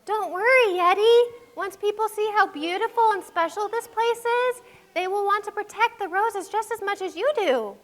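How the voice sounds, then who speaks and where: A woman voicing an earnest, kind girl in a children's puppet show, emphatic and expressive. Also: very high-pitched, moderate pace. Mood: reassuring. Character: earnest, kind, hopeful girl named Betty.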